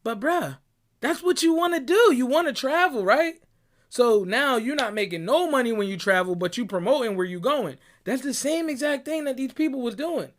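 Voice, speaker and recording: High-voiced emphatic young man from Barbados. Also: lively intonation, moderate pace. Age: young adult. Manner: emphatic, preachy, peppers talk with "right?" and "bruh". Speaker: motivational speaker. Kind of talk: motivational monologue. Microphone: audio clip recording.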